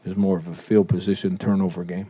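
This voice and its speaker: A man, a head football coach, answering press questions after a game in a measured, blunt way, with fillers. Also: deep voice, level tone, dark tone, breathy edge. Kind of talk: postgame press conference answers.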